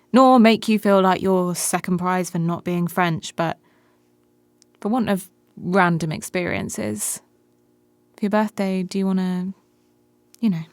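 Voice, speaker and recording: A woman's voice: lowish voice, no room sound. An actress playing a brash, foul-mouthed, prickly and weary woman in a radio drama, speaking bluntly and sardonically. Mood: blunt, probing. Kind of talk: naturalistic radio drama acting.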